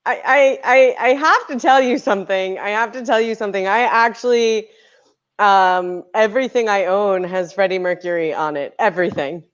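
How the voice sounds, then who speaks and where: A woman's voice: medium pitch, moderate pace, lightly acted. A woman playing a flustered, stammering woman in an improv comedy scene, with a dull lo-fi sound. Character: flustered fan-obsessed woman.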